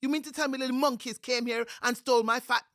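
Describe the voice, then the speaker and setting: A woman voicing an angry, blustering male tiger who speaks loudly and indignantly. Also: high voice, fairly even intonation, fast, dry recording, studio quality, pitched above the performer's own voice. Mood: vexed. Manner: loud, indignant.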